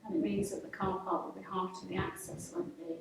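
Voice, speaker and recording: A middle-aged woman with an average-pitched voice chats casually, reminiscing as a local would. She is recorded in a very echoey room, away from the mic, with faint noise. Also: completely flat delivery, a little fast, gravelly, distant mic. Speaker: local interviewee and pantomime participant.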